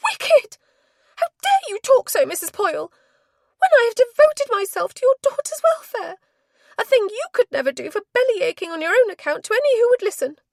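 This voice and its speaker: A woman putting on a shrill, prim old-fashioned spinster. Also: expressive, fairly quick, gravelly, darkish tone, no room sound, studio quality. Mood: indignant, shrill. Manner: formal, genteel and high-strung. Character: prim, nervous spinster woman, prone to shrieking.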